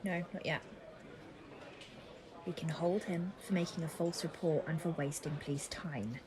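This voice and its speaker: A woman's voice: low-pitched, fairly even intonation, moderate pace, background noise, close to an everyday voice. A woman playing a formal, probing, authoritative detective inspector in an audio drama. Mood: measured. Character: stern, probing female police detective inspector leading interrogation.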